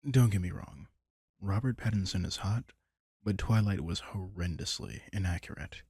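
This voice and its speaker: A man playing a caring, wry vampire king in an intimate comfort roleplay audio drama, talking casually and softly with dry teasing. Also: deep voice, moderate pace, breathy edge, dry recording. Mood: playful teasing.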